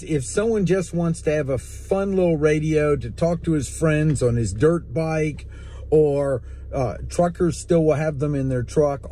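A middle-aged man with a husky voice, explaining things casually to camera in a conversational way inside a parked car. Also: fairly high pitch, warm tone, slight background noise. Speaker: host, ham radio operator.

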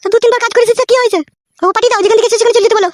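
A woman's voice: very high voice, steady tone, very fast. A woman voicing a betrayed, scolding village wife in a comedy cartoon, with a rural West Bengal accent, ranting and wailing. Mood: angry. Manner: nagging, cursing, wailing rants. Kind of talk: comedy cartoon dub, melodramatic quarrelling.

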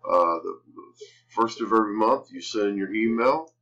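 A man with a fairly low voice walks viewers through a marketing product like a casual online salesman. He repeats himself for emphasis and often tags his sentences with a quick question. The sound is a little dull, like screen-capture audio. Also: creaky, a bit bright. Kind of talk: casual sales pitch over a screen-share tutorial. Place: indoors at a computer.

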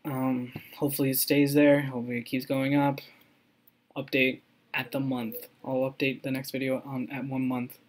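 A man's voice: mid-range voice, moderate pace, rough recording. Young guy vlogging over his screen, rambly and hyped, lots of restarts. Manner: rambling, excitable, many ums and restarts. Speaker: crypto mining vlogger. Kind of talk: casual screen-recording update.

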